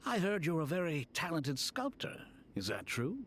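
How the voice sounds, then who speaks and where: A man's voice: a little high, wildly swooping pitch, normal speed, a bit bright, slight background noise. A man playing an earnest, polite scholar in a video game, speaking expressively. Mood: curious, polite. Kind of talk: scripted video game dialogue.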